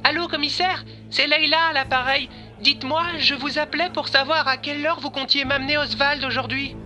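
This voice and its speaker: A neighbour speaking on the phone in an audio drama, played by a woman. Her voice is very high, and music is clearly heard behind it. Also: steady tone, brisk, lightly acted. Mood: puzzled. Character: female neighbour on the phone, babysitter.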